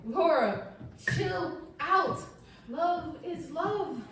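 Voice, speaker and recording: Peace-and-love nature kid, acted by a woman: high pitched, teasing, stage reading in a distant reverberant room with hiss. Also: animated, extremely slow, heavily acted. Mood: mellow. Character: young peace-and-love nature-loving hippie, teasing when provoked. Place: echoey indoor room, far mic.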